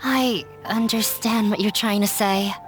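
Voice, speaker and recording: A woman's voice: medium pitch, fairly even intonation, measured pace, close to an everyday voice. A woman playing a serious, restrained young woman in an English game dub. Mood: somber. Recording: music behind the voice.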